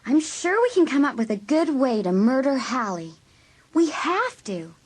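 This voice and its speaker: An animated, scheming American teen girl. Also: sits high, measured pace, husky, heavily acted. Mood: determined. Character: resentful scheming American high school senior girl. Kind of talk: dramatised audiobook acting.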